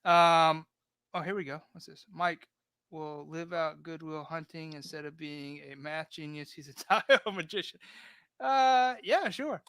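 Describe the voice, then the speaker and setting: Male livestream host, 30s, bright and crisp, relaxed rambling chat, dry sound. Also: on the high side, measured pace, dry recording. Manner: laid-back, rambling, reads chat aloud.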